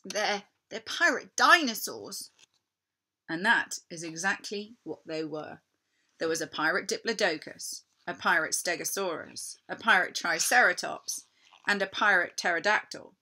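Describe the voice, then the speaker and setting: A woman narrating a children's story in an expressive, deliberate, dramatic storytelling manner. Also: on the low side. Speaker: storybook reader.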